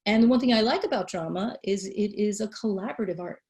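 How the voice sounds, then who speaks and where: A woman's voice: mid-range voice, fast talker, a little mellow. A middle-aged woman, enthusiastically chatting on a livestream and chiming in to finish her daughter's sentences. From United States. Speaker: parent guest, artist. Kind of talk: casual livestream chat.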